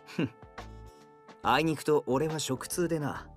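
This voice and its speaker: An actor playing an arrogant, grudging young hero and gourmet in an anime audio drama, with a pompous, blustering, rough way of speaking. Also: male voice, fairly high pitch, a little slow, faint music behind, lightly acted. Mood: haughty.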